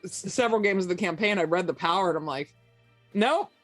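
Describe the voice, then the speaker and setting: A woman's voice: lowish voice, fairly quick, over music. An American woman talking casually and candidly, with some swearing, in a debrief after a tabletop roleplaying game.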